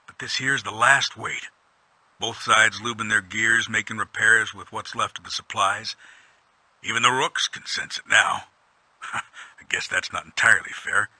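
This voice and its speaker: Gruff robot trooper, world-weary, dutiful, humble, musing plainly, sharp intense tone, dry studio sound, video game monologue. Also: male voice, on the low side, no echo, exaggerated voice. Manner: plainspoken, folksy, stoic, musing. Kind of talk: scripted in-character audio log monologue.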